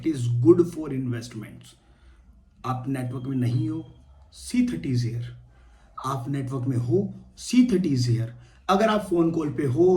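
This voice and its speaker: A man's voice: a little high, a little mellow. A man giving a casual gear review for a motovlog, chatty and informal, dropping in English phrases. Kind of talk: casual product review vlog. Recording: clearly noisy vlog audio.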